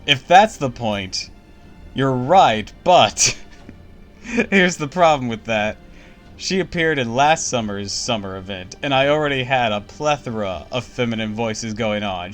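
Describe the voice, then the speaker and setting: A man streaming a game, chatting casually, blunt and quick to laugh, with faint game music behind. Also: medium pitch, expressive, fairly bright tone. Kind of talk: casual gameplay commentary. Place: home streaming setup.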